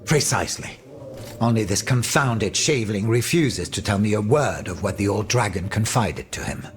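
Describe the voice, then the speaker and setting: A man's voice: fairly low pitch, lively intonation, normal speed, natural voice. An actor playing a flamboyant, indignant French nobleman in a video game, with a florid, declamatory manner. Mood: bitter indignation. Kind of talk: video game cutscene dramatic acting.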